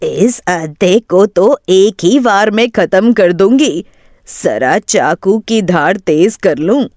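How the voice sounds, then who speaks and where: A woman storyteller playing a sly thieving woman who puts on motherly kindness, speaking in a coaxing, unhurried way. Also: mid-range voice, lively intonation, a little mellow, no echo, studio quality. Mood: scheming.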